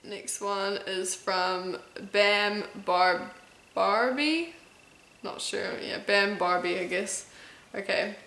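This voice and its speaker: A woman's voice: mid-range voice, slow, gravelly, sharp tone, roomy sound, poor audio. Woman with a New Zealand-American accent. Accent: mix of New Zealand and American. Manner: chatty, stops often with "um" and stumbles over names. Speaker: competitive eater and YouTuber. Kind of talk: casual Q&A vlog.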